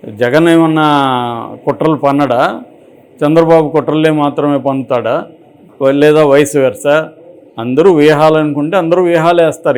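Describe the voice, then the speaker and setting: Man, Telugu accent, slightly high voice, unscripted commentary, explanatory, argumentative rhetorical questions. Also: darkish tone. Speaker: political and film commentator. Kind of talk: unscripted opinionated interview commentary.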